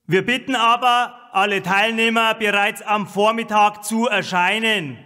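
A man delivering a formal, military-style public announcement in a deliberate, proclamatory way, with a reverberant loudspeaker sound. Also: extremely high pitch.

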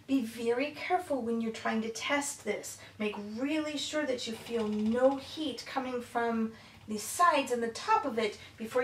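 A middle-aged woman hosting a homely, chatty recipe demonstration to camera in a grandmotherly storybook manner. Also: fairly high pitch. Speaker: cooking show host in character as Mrs. Claus. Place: home kitchen, indoors. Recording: very echoey, distant mic, faint room noise.

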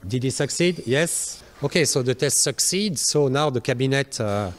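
A man gives an explanatory factory demonstration into a handheld mic, haltingly, searching for words. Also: mid-range voice, animated. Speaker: factory guide. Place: large factory workshop, indoors. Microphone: handheld microphone. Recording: music behind the voice.

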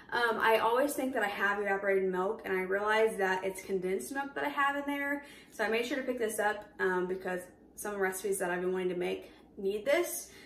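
A woman's voice: mid-range voice, fairly bright tone, recorded from a distance. A chatty young woman rambles cheerfully through a home vlog, full of little fillers and asides. She sits a little back from the camera mic, so her voice rings in an echoey kitchen with a faint hiss underneath. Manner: chatty, upbeat, lots of fillers. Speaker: vlogger. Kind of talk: casual grocery haul vlog.

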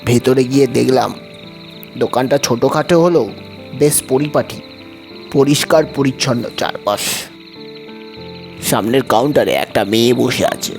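A frightened male diner played by a man tells his haunted hotel tale in a horror audio drama. His voice sits at a middle pitch, with music running underneath. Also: moderate pace, gravelly, pauses often, darkish tone, natural voice. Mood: suspenseful narration. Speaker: story narrator and lead actor.

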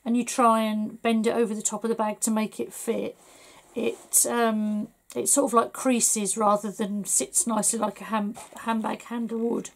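A middle-aged woman from England, slightly hoarse, chatting her way through a papercraft tutorial at her desk with lots of fillers. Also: on the high side, little pitch movement, moderate pace, hardly any pauses. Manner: chatty, rambling, full of fillers. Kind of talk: casual crafting tutorial.

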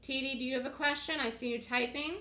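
A woman teaching a trading course on a webinar from her home office, chatty and slightly hoarse from a cold, checking in with "okay?" as she goes. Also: high-pitched, fairly even intonation, quick pace, sharp tone. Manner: chatty, repeats phrases, frequent "okay?" check-ins. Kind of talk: webinar sales pitch.

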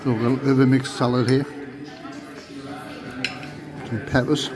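A man's voice: mid-range voice, flat delivery, normal speed, crowd noise. A man, chatting casually to a phone camera while reviewing a pub meal, tired and rambling. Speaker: food vlogger. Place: pub dining room, indoors. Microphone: phone held close to the face. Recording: music playing behind.

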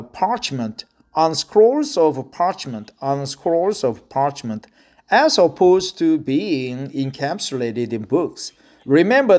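A man with a Korean accent reads slowly in English, often going back over a phrase before he moves on. His voice sits a little high for a man, and his tone stays relaxed and conversational between the sentences he reads. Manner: reads slowly, repeats phrases, breaks off to comment. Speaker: reader practicing English. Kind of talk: reading aloud with casual commentary.

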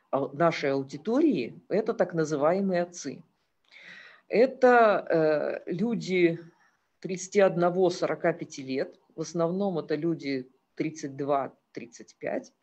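Husky woman giving a slide lecture. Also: on the low side, darkish tone. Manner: earnest, hesitant with fillers, occasional laughs.

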